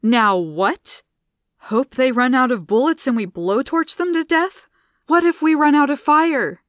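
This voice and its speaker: A woman voicing a tough, bossy gunslinger who barks orders loudly. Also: high-pitched, animated, unhurried, no echo, clean studio sound, pitched above the performer's own voice. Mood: exasperated. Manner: loud, barking orders.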